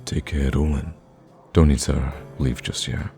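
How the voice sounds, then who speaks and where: A man playing a lovestruck villain in an ASMR romance roleplay, awkward yet cocky, speaking softly and intimately with hesitant stammers. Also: rumbling low, steady tone, unhurried, creaky, soft background music, full character voice. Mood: smug, doting.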